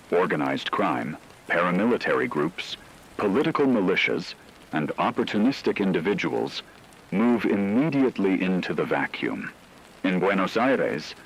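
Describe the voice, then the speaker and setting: A man's voice: deep voice, slight background noise, low-quality recording. A man playing a serious radio analyst of global collapse, reading scripted documentary narration in a formal, methodical manner.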